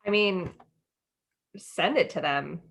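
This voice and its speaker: A woman's voice: fairly low pitch, slow, vocal fry, a bit bright. An American woman co-hosting a podcast in casual, friendly chat, informal and giggly, wandering off into asides. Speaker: podcast co-host.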